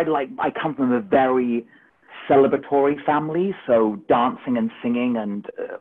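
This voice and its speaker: A man who works as a musical director, telling his story in a chatty, rambling way with many fillers over a remote call. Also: mid-range voice. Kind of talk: casual storytelling on a podcast. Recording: tinny phone-like remote call audio.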